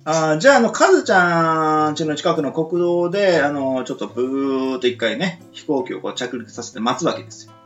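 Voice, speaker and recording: Animated, joking male podcast host. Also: fairly high pitch, brisk. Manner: joking, playful, rambling with hesitations. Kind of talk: casual chat, light banter. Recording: dull lo-fi audio with faint music.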